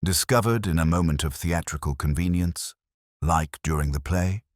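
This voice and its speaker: A man's voice: low-pitched, normal speed, dry recording, clean studio sound, natural voice. A man voicing an eager, restless police sergeant in a mystery story, earnest and full of questions. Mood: eager, speculative.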